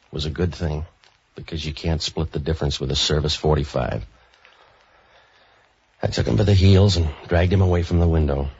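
An actor playing a world-weary, wisecracking troubleshooter in a deadpan, sardonic 1940s radio detective style. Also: male voice, very deep voice, slightly rough, exaggerated voice. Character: world-weary, wisecracking hard-boiled private troubleshooter, a man. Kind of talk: 1940s radio detective acting, narration and dialogue. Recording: muffled old 1940s radio recording.

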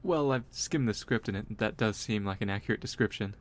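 A young man playing an awkward, earnest character in a comedic audio drama, with a mild and halting way of talking. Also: fairly low pitch, lightly acted.

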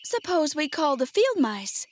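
A polite little girl, played by a woman reader, talks in a high, earnest voice. She sounds questioning and slightly anxious, the young heroine of a children's fairy tale. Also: sing-song, unhurried.

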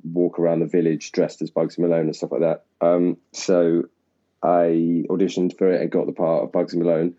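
A man from Essex, an actor, talking at length and laughing at himself in a relaxed interview. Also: sits low, normal speed. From England (Essex). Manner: rambling, self-deprecating, laughs often. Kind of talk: casual interview conversation. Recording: dull lo-fi sound.

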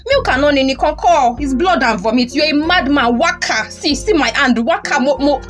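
High, impassioned Nigerian wife, acted by a woman. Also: animated, normal speed, fairly bright tone. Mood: scornful, defiant. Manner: impassioned, pleading or cursing. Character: distraught, fiery wronged wife and young mother. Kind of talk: audio drama acting. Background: music.

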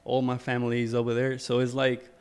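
A young man from Ecuador speaks as a podcast guest in a relaxed, easygoing way. His voice sits at an ordinary mid pitch, and he fills his sentences with "like" and "you know" and restarts often. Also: fast, clear, resonant tone. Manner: relaxed, many fillers and repeats. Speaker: guest photographer. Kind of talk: casual podcast interview.

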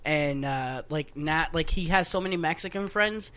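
A man in a relaxed, informal conversation, with a high-pitched and bright voice. His wording is loose and slangy. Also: normal speed, smooth. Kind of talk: casual chat.

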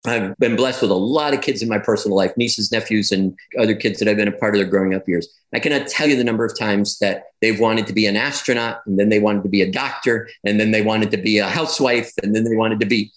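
Expressive man explaining with rising animation. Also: quick pace, few pauses, rough recording. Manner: expressive and animated, rising in pitch as he builds his points; reflective, with repeated phrases and restarts. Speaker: guest, clinician and educator on gender. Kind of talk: conversational interview answer.

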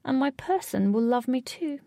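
A woman reads as a giant fat storybook cat, sweet and endearing. The voice is lifted and soft-toned, with a little creak in it. It sounds lonely, earnest and very affectionate. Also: sits high, lively intonation, moderate pace, no room sound, studio quality, voice pushed up. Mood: devoted. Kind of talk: storybook character voice.

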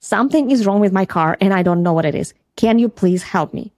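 A woman's voice: mid-range voice, expressive, a little fast, a little mellow, no room sound. A woman from Ukraine with a Ukrainian accent, hosting a podcast and earnestly telling a first-person travel story. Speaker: podcast host.

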